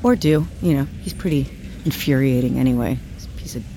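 An actress playing a troubled, self-blaming woman with a tender heart, speaking haltingly in a naturalistic audio drama. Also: a bass voice, mellow, slight background noise. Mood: awkward, apologetic. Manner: halting, faltering, self-correcting.

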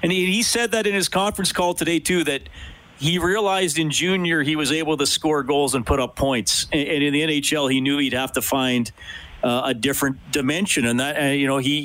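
A man's voice: mid-range voice, fairly bright tone, rough recording. A man hosting a sports radio show, talking and interviewing.

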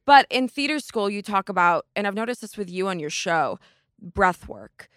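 A woman's voice: medium pitch, lively intonation, moderate pace, fairly bright tone, clear, resonant tone, dry recording. A woman co-hosts a chatty podcast in a medium voice. She is playful and heaps praise on her guest. Her voice sounds dry and close, with no room around it. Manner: gushing, playful. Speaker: podcast co-host. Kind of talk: casual chat.

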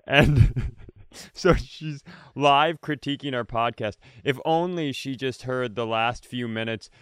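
A middle-aged man co-hosting a podcast from his home, chatting casually, laughing often and bantering back quickly. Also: mid-range voice, fairly bright tone, smooth, no echo, studio quality. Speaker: podcast co-host. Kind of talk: casual chat. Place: room at home.

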